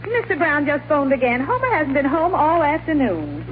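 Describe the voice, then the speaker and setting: A woman playing an impatient, sharp-tongued aunt in a 1940s radio comedy, on a tinny broadcast. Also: sits high, big pitch swings, moderate pace. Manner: impatient, scolding. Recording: tinny old radio broadcast with faint hiss.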